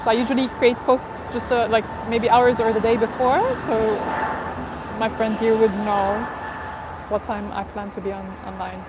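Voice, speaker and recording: A woman's voice: a little high, little pitch movement, moderate pace. Chatty woman streaming outdoors. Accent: non-native English, neither Portuguese nor Spanish. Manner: chatty, upbeat, laughs often. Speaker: livestream host. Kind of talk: casual live chat with viewers. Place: outdoors near an airport. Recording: outdoor live stream with background noise. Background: traffic and ambient noise.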